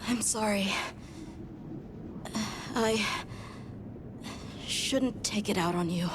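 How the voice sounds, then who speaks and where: A woman playing an earnest, troubled young boy in a video game cutscene, speaking in a halting, emotional way. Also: mid-range voice, background noise. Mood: remorseful.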